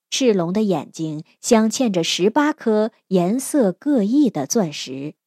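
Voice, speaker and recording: A woman narrating a scripted fairy tale as an expressive storyteller. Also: mid-range voice, dry recording, clean studio sound.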